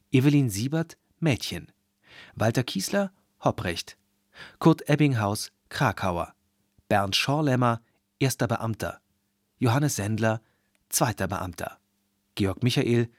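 A man reading formal scripted credits as a radio announcer, measured and precise. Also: medium pitch, no room sound, studio quality.

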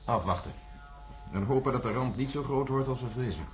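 Actor as brisk, detached male scientist. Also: medium pitch, faint music behind, close to an everyday voice. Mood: cool, detached. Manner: clipped, unemotional. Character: cool, detached male scientist, self-controlled. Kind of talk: science fiction radio drama.

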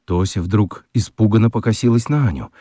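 A man's voice: on the low side, brisk, warm tone, husky, no echo, clean studio sound. A man narrating a children's radio play expressively from a script.

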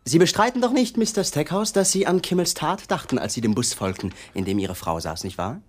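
An actor playing an accusatory young police detective in a German radio drama, mock-polite and insinuating. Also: male voice, fairly high pitch, lively intonation, brisk. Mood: accusing.

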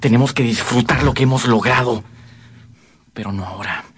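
An actor in a radio drama playing a scheming, domineering lover and murderer, insistent and commanding. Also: male voice, medium pitch, moderate pace, fairly bright tone, husky, dry recording. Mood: cold, persuasive.

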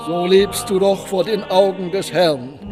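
An actor playing a stern, unforgiving abbot who declaims solemnly in a radio drama. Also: male voice, sits high, unhurried, over music. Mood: solemn, severe.

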